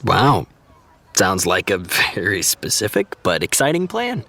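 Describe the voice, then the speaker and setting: A man's voice: deep voice, sing-song, measured pace, bright, forceful tone, slight background noise, full character voice. A man playing an easygoing, diplomatic actor in a naturalistic audio drama, casual and hedging as he talks. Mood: tactful, awkward. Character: easygoing, diplomatic actor mediating between friends.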